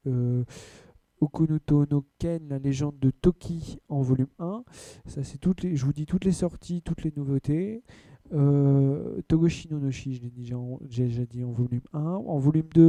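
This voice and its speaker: A man's voice: on the high side, mellow, dry recording. A man hosting an anime and manga podcast in a casual, blunt way, rambling through news with lots of filler words. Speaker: podcast host. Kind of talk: casual news rundown and rant.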